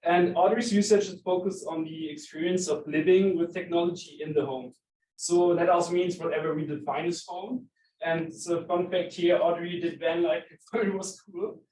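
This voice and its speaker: A man's voice: sits high, fairly even intonation, normal speed, darkish tone. A man introducing a guest speaker at a talk, hesitant, with many fillers. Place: lecture room. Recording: echoey room, distant mic, faint noise.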